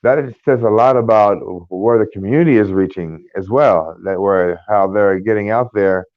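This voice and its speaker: American male co-host, lowish voice, halting and rambling, tinny phone-like sound. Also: no room sound. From United States. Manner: rambling, halting, many fillers and restarts. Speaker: podcast co-host. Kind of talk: casual chat.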